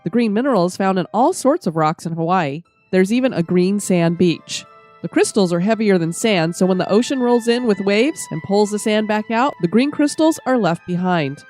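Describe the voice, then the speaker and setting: A middle-aged woman hosts a podcast, her voice a bit stuffy and nasal from a cold. She reads from a script in an upbeat, steady way at a medium pitch, with faint music in the background. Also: moderate pace. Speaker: podcast host.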